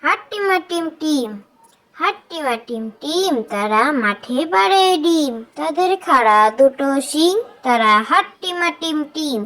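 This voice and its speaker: A high, childlike voice reciting nursery rhymes for children in a sing-song way, line by line. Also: expressive, unhurried, sharp tone.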